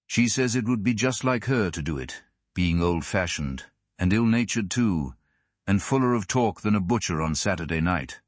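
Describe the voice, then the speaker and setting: A man's voice: deep voice, animated, normal speed, dry recording. A man reading classic fiction aloud as scripted audiobook narration. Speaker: audiobook reader.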